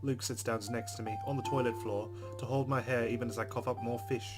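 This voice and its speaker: A man's voice: medium pitch, fairly even intonation, fairly quick, loud music behind the voice. A man reading a visual novel aloud as a let's player, slipping between narration and casual commentary.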